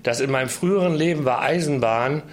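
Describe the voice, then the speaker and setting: A man's voice: mid-range voice, fairly quick. A man giving a casual personal testimony, recollecting his own experiences in a congregation. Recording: noisy location recording with ambience.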